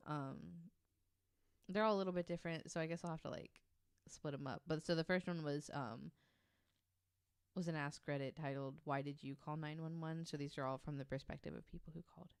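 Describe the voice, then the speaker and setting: A woman's voice: deep voice, steady tone, fairly quick, clean tone, dry recording, studio quality. A woman co-hosting a podcast, chatting casually and reading out stories with her own asides. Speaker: podcast guest co-host.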